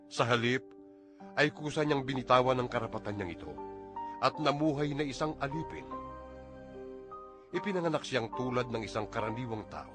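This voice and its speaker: A man with a Filipino accent narrating an audio Bible in a solemn, earnest, measured dramatized reading. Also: medium pitch. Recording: music under the voice. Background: background music.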